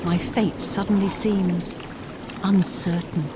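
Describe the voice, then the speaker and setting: A woman playing a wounded, accusing female figure in a fantasy game, speaking formally and deliberately. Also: medium pitch, level tone, noisy background, lightly acted. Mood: grave, foreboding. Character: accusing, wounded female duplicate, brooding and solemn. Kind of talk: scripted fantasy game dialogue.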